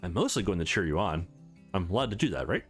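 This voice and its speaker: A man reads as an eager young woman from a visual novel game. She is chatty and upbeat, with very animated intonation over soft music. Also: sits low, quick pace, pitched below the performer's own voice.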